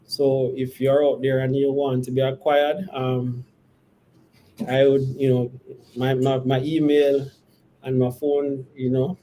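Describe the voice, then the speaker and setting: A man with a mellow, relaxed voice answers investor questions as a company executive. He phrases things carefully and hesitantly, and there is steady noise in the background. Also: mid-range voice, low-quality recording.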